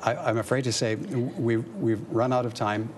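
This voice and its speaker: A man hosts a TV panel in a polite, slightly stammering way. His voice is mid-pitched, with a faint background hiss. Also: fairly even intonation, moderate pace. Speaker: TV show host, moderator. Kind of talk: moderating a TV panel.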